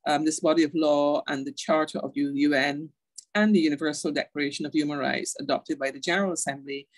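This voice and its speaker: A woman with a Barbadian accent giving an online lecture as guest speaker, measured, with frequent um fillers, partly reading aloud. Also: deep voice, fairly even intonation, dry recording, low-quality recording. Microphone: video call.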